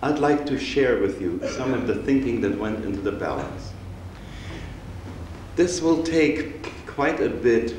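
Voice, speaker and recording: A man's voice: fairly low pitch, darkish tone. Older man lecturing in a roomy hall. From Austria. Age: 60s. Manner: measured, reflective, unhurried storytelling. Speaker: rabbi and lecturer. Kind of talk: public lecture. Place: synagogue hall, seated on stage before an audience. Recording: roomy hall recording with faint hiss.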